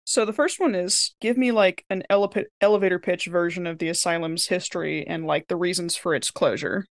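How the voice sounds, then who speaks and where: A woman's voice: on the low side, fairly quick, dry recording, studio quality. A woman playing a tabletop role-playing game, thinking aloud in casual speech as she plans what her character will do.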